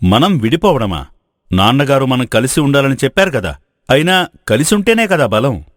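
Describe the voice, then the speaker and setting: A man's voice: medium pitch, very animated, normal speed, dry recording, studio quality, lightly acted. A man playing a dutiful, earnest elder brother in a family moral story. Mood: dismayed.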